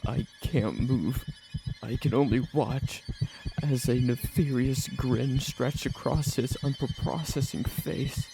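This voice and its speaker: A man narrating a first-person horror story in a tense, dramatic manner with theatrical pauses. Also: medium pitch, vocal fry, background noise.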